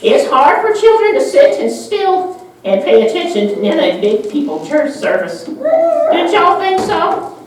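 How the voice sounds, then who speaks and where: A woman's voice: very high voice, huge pitch swings, unhurried, a little mellow, exaggerated voice. A woman playing a patient Sunday school teacher in a children's puppet show. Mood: instructive. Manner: instructive, patient. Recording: echoey, noisy room, distant mic.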